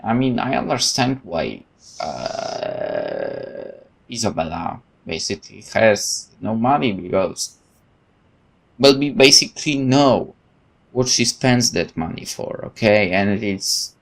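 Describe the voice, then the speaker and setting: A man's voice: fairly low pitch, slow, vocal fry. A man hosting a gaming video, expressively reading out game text and adding his own commentary. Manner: expressive, reads game text aloud with interjections. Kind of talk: let's play narration and commentary.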